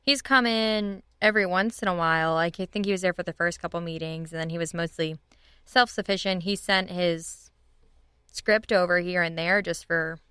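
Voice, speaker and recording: A woman's voice: on the low side, fairly even intonation, normal speed, bright, forceful tone, smooth, no echo, clean studio sound. A woman speaking as a podcast guest in a casual, conversational style. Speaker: podcast guest, writers' room leader. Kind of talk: casual chat.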